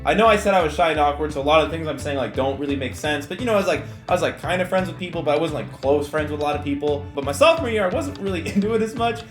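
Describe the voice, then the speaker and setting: High-voiced young Californian man, echoey room. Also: rapid-fire, few pauses. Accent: Californian American. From California, United States. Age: young adult. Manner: animated, slangy, self-mocking. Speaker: YouTuber telling stories. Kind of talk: casual storytime chat to viewers. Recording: echoey room with music bed. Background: background music with guitar.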